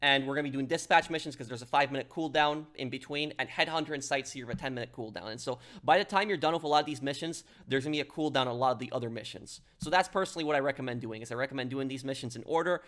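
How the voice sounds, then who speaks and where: A man's voice: mid-range voice, rapid-fire, few pauses, fairly bright tone. A man giving a casual, step-by-step gameplay tutorial in an instructional way, like an online gaming guide host.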